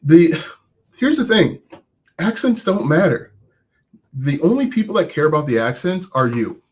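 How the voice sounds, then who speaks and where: A man's voice: a little high, big pitch swings, moderate pace, breathy edge. A man coaching viewers live about online business, talking conversationally and encouragingly with frequent restarts, and saying he has an accent of his own. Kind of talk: live-stream coaching, answering chat.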